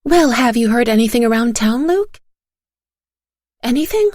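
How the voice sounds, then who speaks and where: A woman's voice: on the high side, animated, moderate pace, a little mellow, no room sound, clean studio sound, voice pushed up, natural voice. A woman doing a tense, worried woman friend. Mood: anxious. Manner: anxious, questioning.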